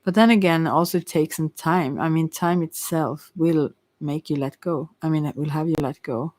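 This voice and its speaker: A tarot reader giving an informal ASMR reading in a hesitant, rambling way with many pauses. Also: mid-range voice, a little mellow. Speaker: Ayurveda therapist.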